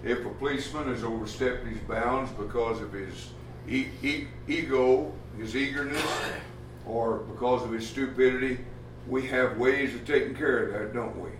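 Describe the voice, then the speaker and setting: A man's voice: medium pitch, steady tone, noisy background. Plainspoken preacher guy, lots of uhs, roomy echo and mic set far off. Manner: plainspoken, folksy, halting with many uh fillers. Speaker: pastor. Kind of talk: sermon. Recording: echoey room from far off, faint ambience.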